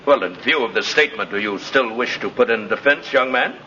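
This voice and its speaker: An actor playing a dry, formal, middle-aged courtroom judge in a 1950s radio drama. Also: male voice, mid-range voice, brisk, bright, forceful tone, close to an everyday voice. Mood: skeptical, testy. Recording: dull, noisy vintage radio recording.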